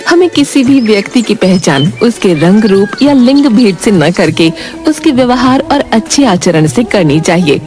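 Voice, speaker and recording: A woman's voice: mid-range voice, animated, measured pace, darkish tone, soft background music. A woman reading scripted narration for a moral bedtime story.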